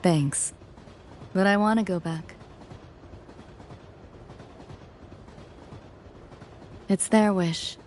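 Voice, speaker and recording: A woman's voice: mid-range voice, measured pace, a little mellow, a little hiss or hum, lightly acted. An actress playing a young woman mercenary in a video game, coolly wistful, speaking in clipped casual slang. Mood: wistful, resigned. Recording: music behind the voice.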